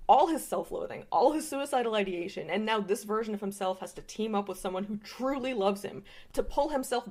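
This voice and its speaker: A woman's voice: fairly high pitch, a little mellow. A woman delivering an impassioned fan-theory monologue as a voice message, dramatic and emphatic, with some swearing. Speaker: listener sending a voice message.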